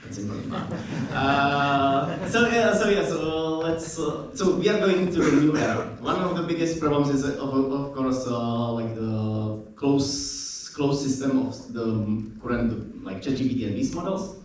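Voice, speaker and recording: A man's voice: mid-range voice, a little hiss or hum. Man speaking with hesitation in an echoey hall. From Czech Republic. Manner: hesitant, filler-heavy, slightly halting English. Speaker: panelist, researcher. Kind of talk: opening remarks on a panel. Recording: very echoey room, distant mic.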